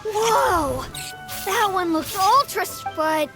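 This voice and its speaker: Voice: extremely high pitch, expressive, slow, exaggerated voice. An actor voicing a small, confident, playful child in a video game, childlike and taunting. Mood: gloating. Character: small boastful playful child, eager beetle battler. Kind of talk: English video game voice acting. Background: game music.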